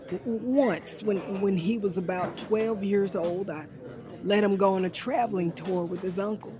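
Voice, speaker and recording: A woman performing a grieving alcoholic father in a radio drama, halting and mournful. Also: medium pitch, close to an everyday voice.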